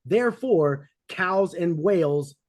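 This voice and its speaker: A man debating, making insistent, measured rebuttals. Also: sits high, lively intonation. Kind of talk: heated debate.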